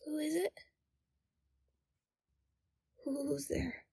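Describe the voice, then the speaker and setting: A woman playing a timid, frightened young captive in an audio roleplay, speaking in a hesitant, hushed and halting way. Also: sits high, fairly even intonation, vocal fry, no room sound, clean studio sound. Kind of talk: scripted audio roleplay, ASMR.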